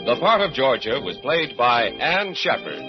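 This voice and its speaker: A man's voice: mid-range voice, very animated, a little slow. A man narrating old-time radio horror as an announcer, with ominous theatrical delivery. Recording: muffled old radio, loud music behind.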